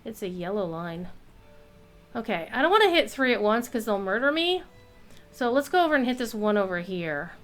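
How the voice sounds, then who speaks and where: A woman giving casual live commentary while streaming a game, playful and expressive, with some salty language. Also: a little high, moderate pace, faint music behind.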